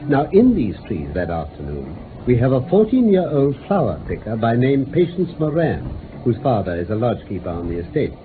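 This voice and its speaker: Gentleman detective , male actor: British, confident, methodical, authoritative, very varied intonation, noisy vintage radio play recording. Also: medium pitch, very animated, normal speed, hardly any pauses, phone-quality sound, close to an everyday voice. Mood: explaining. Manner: precise, authoritative, expository. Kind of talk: radio drama acting.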